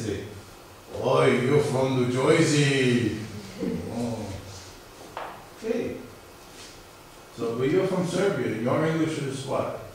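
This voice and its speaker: A middle-aged male professor with a New York area American accent, giving a conversational university lecture and quizzing his class. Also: mid-range voice. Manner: conversational, questions the class and answers himself. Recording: very echoey room, mic far off, faint hiss.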